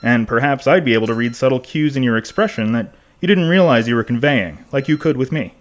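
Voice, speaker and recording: A pensive man played by an actor hosting a podcast in an audio drama. His voice sits at a middling pitch as he rambles conversationally through a scripted monologue. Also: animated, quick pace, natural voice. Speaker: podcast host.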